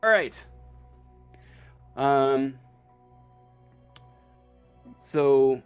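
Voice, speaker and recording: Male game master, unhurried, halting improvised narration, music underneath. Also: mid-range voice, smooth, dry recording. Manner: halting, pausing mid-sentence.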